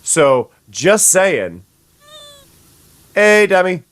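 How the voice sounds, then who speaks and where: A young adult streamer, sniffly today, chatting casually with viewers and restarting sentences often. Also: male voice, sits high, sing-song, drawn-out words, a bit bright, no room sound. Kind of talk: casual gameplay chat.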